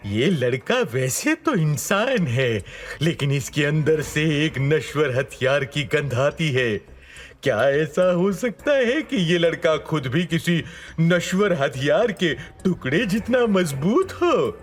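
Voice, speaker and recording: Man voicing a slow, swooping crazed sorcerer. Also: on the high side, big pitch swings, a little hiss or hum. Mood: intrigued, calculating. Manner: slow, drawn-out, dramatic delivery. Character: crazed, obsessive male sorcerer weapon-forger, thinking aloud. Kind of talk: audio drama acting. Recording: music behind the voice. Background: background music.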